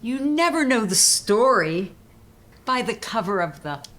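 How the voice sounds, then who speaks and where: A woman hosting and reading children's poems aloud, with deliberate, emphatic phrasing made for young listeners. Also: a little high, big pitch swings, a bit bright. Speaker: host and poetry reader. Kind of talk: expressive read-aloud of children's poetry.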